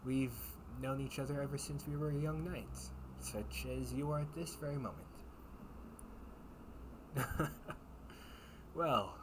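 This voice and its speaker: A man's voice: medium pitch, natural voice. A man playing a kindly, teasing knight captain in a comfort audio roleplay, speaking in courtly, old-fashioned phrases. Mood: relaxed, confiding. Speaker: amateur audio roleplay performer. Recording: clearly noisy home recording with ambience.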